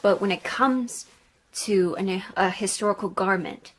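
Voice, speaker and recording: A woman's voice: medium pitch, unhurried, a little hiss or hum. Woman rambling candidly through a sewing vlog. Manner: rambling, candid thinking aloud. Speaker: sewing vlogger. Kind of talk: casual sewing vlog chat.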